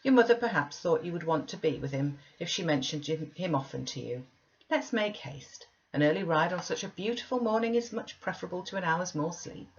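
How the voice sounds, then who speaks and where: Casting: woman to read a patient, persuasive female servant for a storybook, lowish voice, slightly muffled recording. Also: expressive, brisk. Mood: coaxing, reassuring. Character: coaxing, reassuring woman servant soothing a child. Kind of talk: audiobook character reading.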